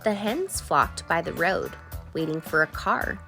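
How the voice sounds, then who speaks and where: A woman reading a children's storybook aloud as an expressive, deliberate narrator. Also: lowish voice, gravelly, soft background music. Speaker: children's book reader.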